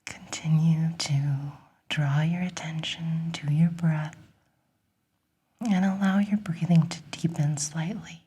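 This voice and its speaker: A woman leads a guided relaxation in a very breathy whisper. She speaks slowly and gently, with a mellow tone. The delivery is soothing and encouraging, in an ASMR style. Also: deep voice, fairly even intonation.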